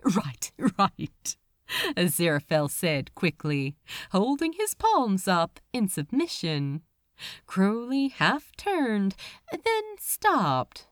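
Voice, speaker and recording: A woman reading a story aloud as an audiobook narrator, with an expressive, dramatic storytelling manner. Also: medium pitch, slow, dry recording, studio quality. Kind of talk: scripted fiction narration.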